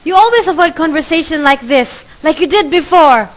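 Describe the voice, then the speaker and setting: A woman's voice: sits high, animated, brisk, faint music behind. A woman playing a bitter, angry wife and mother in a student radio drama, confronting her husband. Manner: accusing, shouting in an argument. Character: bitter, furious middle-aged wife and mother.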